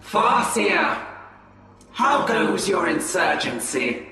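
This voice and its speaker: A commanding, age-old elf warrior for a game. She speaks slowly in a forceful tone. Long distant reverb and music surround the voice. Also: fairly low pitch, sing-song, exaggerated voice. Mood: stern challenge. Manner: deliberate, commanding. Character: stern, ancient female elf warrior mentor. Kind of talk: video game cutscene acting.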